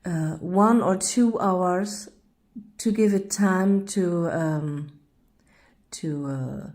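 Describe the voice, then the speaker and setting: Slow-talking German woman with a mellow voice. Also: fairly low pitch. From northern Germany. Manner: searching for English words, apologetic, hesitant with many uh fillers. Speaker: cooking vlogger. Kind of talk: narrating a cooking video.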